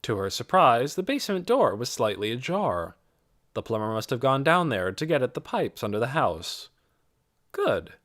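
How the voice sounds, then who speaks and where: A man's voice: mid-range voice, sing-song, normal speed, a bit bright. A man narrating a children's novel as an audiobook, reading from a script.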